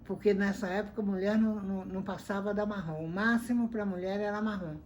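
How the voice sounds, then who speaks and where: A woman's voice: mid-range voice, fast, lo-fi sound, slight background noise. Older Brazilian woman telling stories. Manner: animated, acts out both sides of quoted exchanges. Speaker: interviewee, former football referee. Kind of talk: conversational storytelling.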